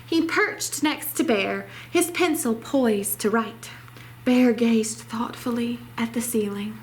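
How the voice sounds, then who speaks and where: A woman's voice: on the high side, slow pace, a little hiss or hum, low-quality recording. A woman reading a children's story aloud expressively, as a library storytime reader.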